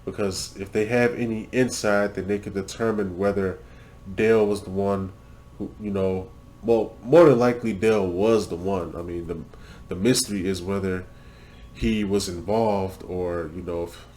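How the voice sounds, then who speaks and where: A man's voice: sits low, steady tone, normal speed, darkish tone, a little hiss or hum. A man hosting a reaction video in loose, rambling casual talk full of fillers and swearing, stuffed up from a cold. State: congested from a cold.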